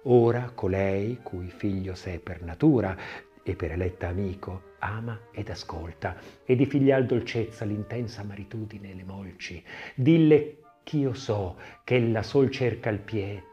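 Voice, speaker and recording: A man reads literature as a narrator, in a declamatory, theatrical manner that moves between swelling and hushed phrases. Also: lowish voice, measured pace, dull audio, soft background music. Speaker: audiobook narrator. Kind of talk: dramatic literary reading of poetry and scripted narration.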